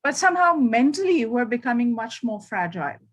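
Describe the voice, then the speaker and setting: Woman host asking questions, bit high voice, lots of uhs. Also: big pitch swings, brisk. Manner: conversational, hesitant with fillers. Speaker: interviewer, host. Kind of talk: interview questions.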